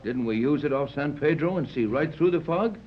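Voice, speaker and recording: An American man acting a gruff, practical sea captain and inventor in a 1930s radio serial, brisk and plain-spoken. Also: a little high, expressive, lightly acted. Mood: brisk, commanding. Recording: muffled, noisy 1930s radio recording.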